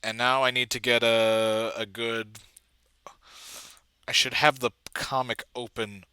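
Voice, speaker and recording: Bright-voiced man talking through video editing. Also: mid-range voice, flat delivery, clean tone. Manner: halting, trailing off mid-thought. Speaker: amateur video editor making a tutorial. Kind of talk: casual screen-recording walkthrough, thinking aloud.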